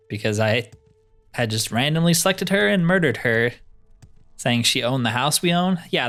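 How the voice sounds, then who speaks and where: A man with a mid-pitched voice hosts a game playthrough over music. He chats informally and thinks out loud in a casual commentary style. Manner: chatty, informal, thinking aloud. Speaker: host of a game roleplay series. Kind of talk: casual let's play commentary. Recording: music behind the voice.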